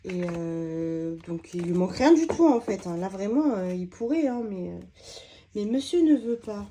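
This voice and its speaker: A woman's voice: medium pitch, expressive, moderate pace, mellow, slight background noise. A woman, slightly stuffy from a cold, chatting casually while sorting her budget envelopes, with lots of hesitant fillers. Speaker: budgeting vlogger.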